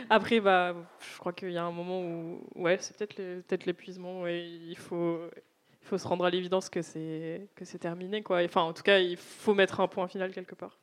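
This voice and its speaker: A woman's voice: fairly low pitch, little pitch movement, moderate pace. A woman speaking as an author guest, hesitant and thinking aloud at a public reading. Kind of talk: casual discussion at a public reading.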